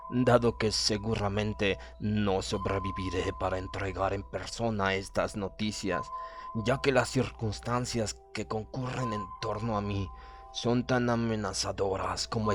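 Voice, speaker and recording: A man reading an audiobook as a proud, cold German submarine commander, in a formal, measured and pompous manner. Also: on the low side, natural voice.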